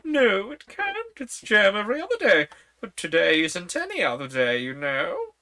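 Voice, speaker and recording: Scatterbrained old storybook queen, high and fluttery, a woman reading it. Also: huge pitch swings, normal speed, sharp tone.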